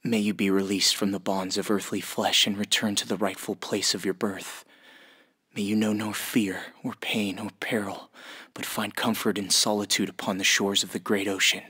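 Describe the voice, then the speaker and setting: A solemn soldier in a war game, reciting a blessing. The voice is fairly low and husky with a tense edge. It stays hushed, slow and level throughout. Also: male voice, level tone. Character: solemn male soldier reciting a prayer for the dead.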